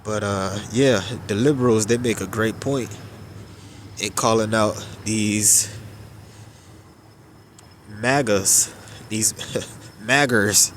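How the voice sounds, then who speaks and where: A man with a stuffed-up, nasal voice giving unhurried religious commentary, drawing out his phrases with filler pauses. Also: on the low side, a bit bright, a little hiss or hum.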